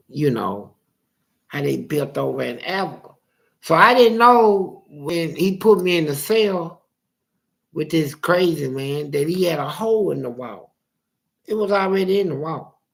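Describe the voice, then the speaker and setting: Gravelly man telling a street story. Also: on the high side, a little slow. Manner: animated street storytelling, acting out dialogue, profane. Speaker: interviewee telling his life story. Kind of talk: casual storytelling.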